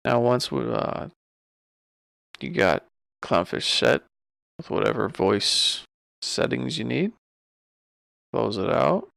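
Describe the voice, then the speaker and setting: Very creaky, casual man giving a tutorial. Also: medium pitch, big pitch swings, takes it slowly, pauses often, fairly bright tone, no echo, clean studio sound. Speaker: streamer giving a software tutorial. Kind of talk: casual screen-recorded tutorial.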